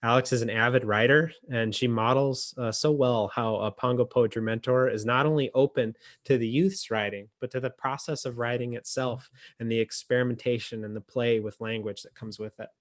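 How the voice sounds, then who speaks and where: A man hosting a poetry reading over a video call, speaking in a halting, reflective way. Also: medium pitch, fairly even intonation. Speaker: emcee and editor.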